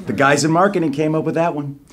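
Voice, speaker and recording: An actor playing a slick, polished civilian pitchman presenting a secret military program in an audio drama. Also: fast talker, faint music behind, lightly acted. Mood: smooth, assured. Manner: smooth, polished, salesmanlike.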